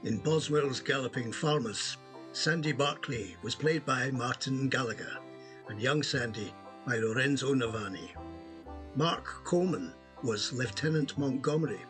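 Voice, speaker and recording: Slow-paced male announcer over music. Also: medium pitch. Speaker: credits announcer. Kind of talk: scripted closing credits announcement. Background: instrumental music.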